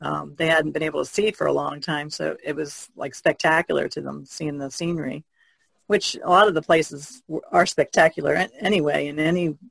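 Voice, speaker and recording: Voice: medium pitch, steady tone, brisk, creaky, a bit bright, husky. A podcast co-host chatting casually in a rambling way. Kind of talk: casual chat.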